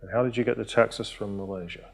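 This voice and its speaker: A male interviewer asks short, plain questions in a fairly low voice. His voice rings out with a long reverb, as if he is in a large room. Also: fairly even intonation, fast, creaky, slightly rough.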